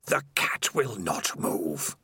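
A hidden, sinister rat of enormous size speaks in a slow, drawn-out hissing whisper, as a male narrator puts him on. His coaxing words seem to drift in from the dark, low and unhurried. The audiobook sound is close and dry. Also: big pitch swings, slightly rough, no echo, exaggerated voice. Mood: menacing.

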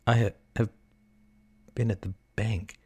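A man playing a playful, teasing young gentleman with a theatrical, grandiose manner. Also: on the low side, flat delivery, breathy edge. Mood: mock grave. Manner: dramatic, halting stammer and grandiose phrasing.

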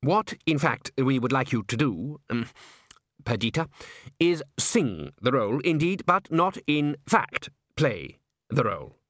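A man doing a smooth music director. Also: mid-range voice, very animated, a little slow, fairly bright tone, no room sound, clean studio sound.

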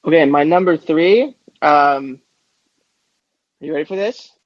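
A man with a fairly high voice co-hosts a casual sports chat. He speaks informally and hedges his opinions. The recording is dry and a little muffled. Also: unhurried, dry recording. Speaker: podcast co-host. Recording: slightly muffled, lo-fi microphone.

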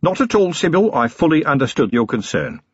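A man performs a middle-aged policeman husband in an audiobook. He is dutiful and understated, with a measured, husky delivery. The recording is dry and slightly dull. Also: on the high side, very animated, no echo, voice pushed up. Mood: measured, mild. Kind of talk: audiobook character voice.

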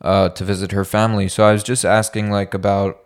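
A young man chats with a low voice. His talk meanders and he leans on filler words as he thinks aloud. He sounds easygoing and unhurried. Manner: relaxed, rambling, heavy use of "like". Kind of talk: casual chat.

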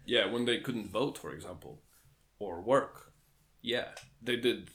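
A man co-hosting a casual podcast, his voice sitting a little low. He lays out his points in a dry, matter-of-fact way and breaks into laughter now and then. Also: measured pace, vocal fry, fairly bright tone. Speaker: podcast co-host.

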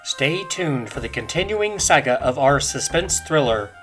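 A woman's voice: extremely low pitch, animated, a little slow, soft background music. A woman giving scripted, theatrical and suspenseful narration for a radio serial.

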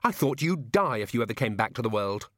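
A man's voice: medium pitch, sing-song, a little fast, a bit bright, no room sound, clean studio sound. A man voicing a forthright, indignant young woman in an audiobook, demanding and incredulous. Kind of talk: audiobook character reading.

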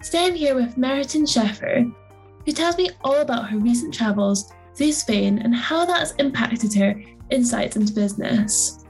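Breathy woman hosting, polite and scripted. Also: fairly high pitch, a little mellow, rough recording. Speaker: podcast host. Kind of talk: scripted podcast intro and interviewing.

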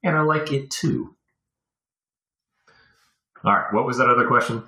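A man's voice: mid-range voice, animated, unhurried, bright, forceful tone. A man as a podcast guest, chatting casually and reflectively, rambling as he thinks aloud. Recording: roomy, echoey sound.

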